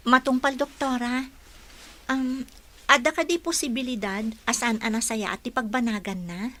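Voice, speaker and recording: Young woman caregiver played by a woman, Ilocano accent, crisp forward tone, deferential, dutiful, radio drama. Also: a little high, normal speed, fairly bright tone, lightly acted. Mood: polite, attentive. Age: young adult. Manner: polite, deferential.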